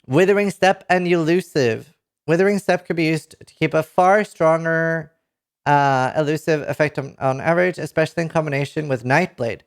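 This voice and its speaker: Give me a Norwegian-accented man in his thirties reading game patch notes live, excitable and chatty with his viewers. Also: on the high side, moderate pace, clean tone. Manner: excitable, swears casually, talks to chat. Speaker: streamer. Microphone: headset mic at a desk.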